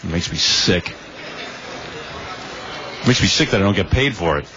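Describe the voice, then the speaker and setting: Brash, sarcastic radio host with a low voice. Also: male voice, lively intonation, normal speed. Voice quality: slightly nasal. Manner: brash, sarcastic, self-absorbed joking. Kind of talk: casual morning radio banter. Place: crowded event room with radio stations. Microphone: studio microphone. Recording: dull lo-fi broadcast tape with faint room noise.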